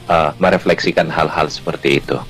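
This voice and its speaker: A man's voice: extremely low pitch, fairly even intonation, fairly quick, a bit bright, soft background music. A man in a film cast giving an earnest, reflective message during a radio interview. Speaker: film actor, guest.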